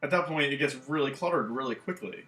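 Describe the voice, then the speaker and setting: A man's voice: a little high, fast talker, fairly bright tone, faint music behind. A man co-hosting a gaming channel, chatting casually with lots of "um" and "like" fillers. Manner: rambling, many fillers like "um" and "like". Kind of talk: casual chat about video games. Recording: echoey room.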